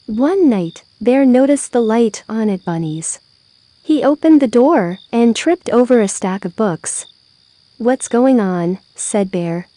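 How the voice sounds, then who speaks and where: A woman narrating a children's story aloud, with expressive, deliberate phrasing. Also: on the high side.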